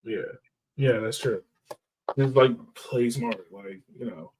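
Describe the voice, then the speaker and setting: A man's voice: mid-range voice, slow, darkish tone, a little hiss or hum. Slightly distant man talking sports casually. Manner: repeats and rephrases himself as he thinks aloud. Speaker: sports podcast co-host. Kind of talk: casual soccer match discussion.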